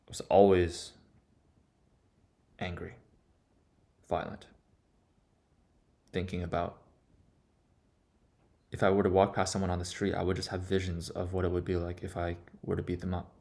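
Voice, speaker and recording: A man giving a personal, reflective monologue in an earnest, confessional manner, setting out his thoughts in short, deliberate phrases. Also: low voice, monotone, creaky, warm tone. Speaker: vlogger.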